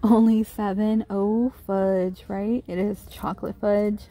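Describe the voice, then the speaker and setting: A woman's voice: mid-range voice, little pitch movement, slow, warm tone. A woman filming a shop-with-me walkthrough in a big store, chatting and gushing over cute finds, recorded on a handheld phone. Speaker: shopping vlogger. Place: large retail store, indoors. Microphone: handheld phone camera.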